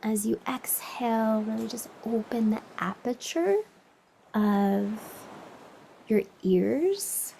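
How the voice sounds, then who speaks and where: A woman leading a guided meditation as a teacher, soothing and unhurried, with long pauses and breathy phrase endings that drop toward a whisper. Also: on the high side, dark tone, a little hiss or hum, poor audio. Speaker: meditation teacher and podcast host.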